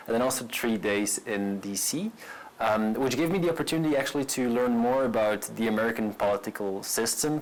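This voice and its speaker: A man's voice: lowish voice, low-quality recording. A man in his late twenties from Brussels with a Belgian accent, speaking earnestly about himself in an interview, often repeating words as he goes. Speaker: entrepreneur, interviewee. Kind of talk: personal profile interview to camera.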